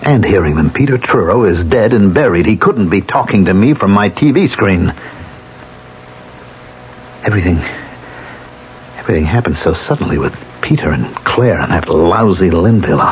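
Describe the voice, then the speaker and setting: A man's voice: low-pitched, lively intonation, moderate pace, pauses often. An actor playing a rattled, disbelieving, haunted man in a 1970s radio drama. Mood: shaken. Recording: dull, faintly noisy vintage radio recording.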